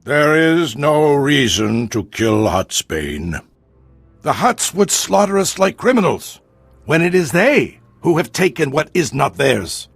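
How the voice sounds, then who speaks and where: A grave alien warrior chief in a sci-fi game. His speech is slow and formal, with a rough husk, proud and reasoning. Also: a little high, big pitch swings, dry recording, faint music behind, exaggerated voice. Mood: earnest. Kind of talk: video game voice acting.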